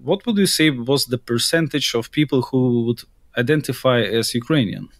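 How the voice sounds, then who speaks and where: A man's voice: medium pitch, moderate pace, no echo. Male podcast interviewer, dry close sound. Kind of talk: conversational interviewing.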